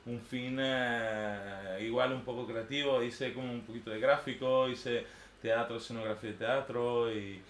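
A man's voice: medium pitch, steady tone, a little slow. A man in his thirties from northern Italy, a native Italian speaker with an Italian accent, talking informally and unscripted in a hesitant, rambling way, often tagging phrases for agreement. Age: 30s. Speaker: artist giving a talk. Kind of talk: informal unscripted personal talk. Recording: echoey room with faint background noise.

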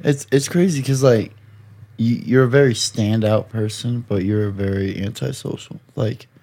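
A young man hosting a podcast, hoarse from screaming, talking in a rambling, profane, jokey way. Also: medium pitch, moderate pace, warm tone. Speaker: podcast host. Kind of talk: casual chat.

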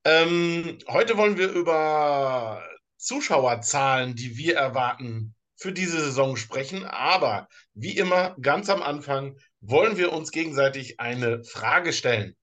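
A man's voice: fairly high pitch, expressive, a bit bright. A man with a German accent co-hosting a casual sports podcast, talking hesitantly with restarts. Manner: hesitant, many fillers and restarts, slow in places. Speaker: podcast co-host.

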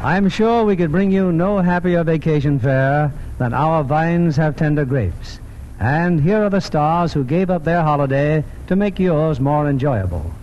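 A man's voice: on the high side, animated, unhurried, some chatter behind. A man with a polished, formal manner hosting a 1940s radio theatre in scripted announcer style. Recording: dull, noisy 1940s radio broadcast.

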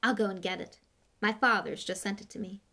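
A woman's voice: medium pitch, brisk, bright, forceful tone. A woman voicing a spoiled, arrogant schoolboy bully in an audiobook, scornful, impatient and boastful. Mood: smug. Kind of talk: audiobook character voice.

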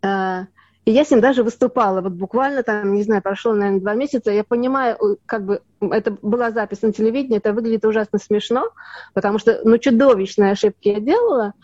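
A woman from Russia, an actress, talking through her story in a casual interview with many pauses and restarts. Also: medium pitch, a little mellow, no echo. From Russia (Leningrad). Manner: rambling, many fillers and restarts. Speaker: actress and dubbing artist, guest. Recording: dull lo-fi sound.

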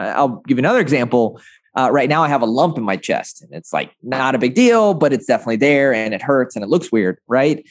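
A man's voice: mid-range voice, no room sound. A man speaking as a guest in a conversational interview, sharing advice and anecdotes and often checking in with "right?". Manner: frequent "right?" tag questions. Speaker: interview guest, agency founder.

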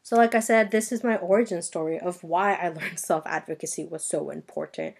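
Young woman in her 20s, spanish her first language, talking solo on her podcast, kinda low voice, earnest and direct. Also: fairly even intonation, fairly quick, creaky, husky. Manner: earnest personal storytelling, addresses listeners directly. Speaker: podcast host.

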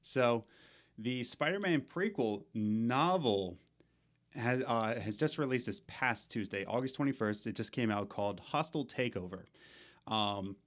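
A man's voice: mid-range voice, a little slow. A young man co-hosting a casual gaming podcast, rambling with lots of fillers and restarts. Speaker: podcast co-host.

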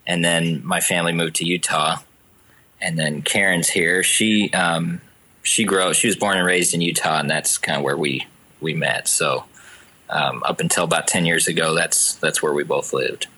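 A man's voice: low-pitched, steady tone, moderate pace, sharp tone, poor audio. A man from New Hampshire, a guest on a podcast, talking casually and hesitantly with many fillers. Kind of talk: casual interview chat.

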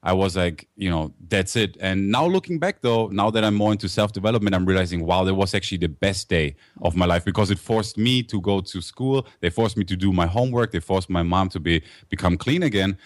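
A man's voice: sits low, brisk, few pauses, no room sound, studio quality. A man in his thirties with a German accent, telling his story as a guest with upbeat energy. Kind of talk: interview storytelling.

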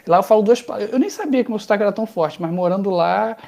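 Carioca guy running for office, gravelly voice, lots of ums and restarts. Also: high-pitched, fast talker, warm tone. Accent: Carioca Portuguese. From Rio de Janeiro, Brazil. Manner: hesitant, many fillers and restarts. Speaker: political candidate. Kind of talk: interview conversation.

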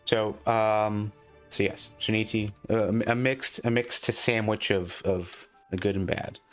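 A man improvising tabletop game narration as game master, speaking haltingly and often repeating words. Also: lowish voice, fairly even intonation, fairly bright tone, soft background music.

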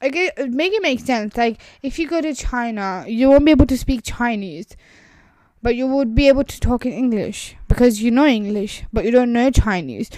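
A young woman with an Urdu accent talks on her own podcast in a loose, rambling way, leaning on "like" and restarting her sentences. Her voice sits a little high and sounds smooth and clear. The recording is close and dry, with no room sound. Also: lively intonation, moderate pace, dark tone, dry recording. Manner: rambling, frequent "like" fillers and restarts. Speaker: podcast host. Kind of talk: casual solo podcast monologue.

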